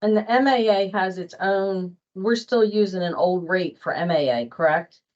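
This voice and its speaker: A woman's voice: mid-range voice, normal speed, darkish tone. A woman leading a work meeting in an informal, conversational way. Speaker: meeting leader. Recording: dull online meeting audio.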